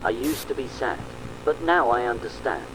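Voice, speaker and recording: A man's voice: medium pitch, normal speed, background noise, lightly acted. A man playing an eerie happy figure who preaches smiling in an unsettling way. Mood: eerily serene. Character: eerie happy man insisting everyone must smile.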